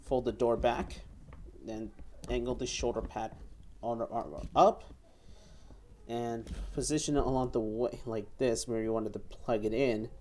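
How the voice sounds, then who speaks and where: A young man giving step-by-step hands-on instructions as a toy reviewer, haltingly, often repeating and correcting himself. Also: mid-range voice, steady tone, mellow, slight background noise. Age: young adult. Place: small room at home, indoors.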